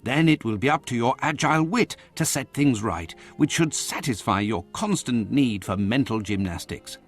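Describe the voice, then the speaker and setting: An actor playing a genial, busy Victorian physician in a video game, chatty and formal in an old-fashioned way. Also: male voice, mid-range voice, animated, moderate pace, soft background music, natural voice. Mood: reassuring. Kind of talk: video game cutscene acting.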